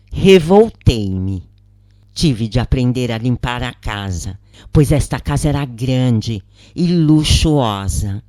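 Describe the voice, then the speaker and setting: Slow, expressive Brazilian narrator. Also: medium pitch, no room sound, studio quality. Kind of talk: scripted audiobook narration of a first-person spiritist account.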